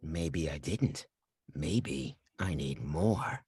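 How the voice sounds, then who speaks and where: A creepy seductive slasher phone stalker, insinuating, drawn out words, echoey audio. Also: male voice, a bass voice, animated, darkish tone, heavily acted. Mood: menacing, seductive. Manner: slow, insinuating. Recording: echoey video call.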